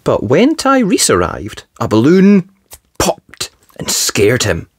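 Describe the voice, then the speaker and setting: A storybook reader narrating for young children in an expressive, theatrical, emphatic way. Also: medium pitch, takes it slowly, slightly rough, no room sound. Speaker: storybook reader, channel host. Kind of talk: animated children's read-aloud narration.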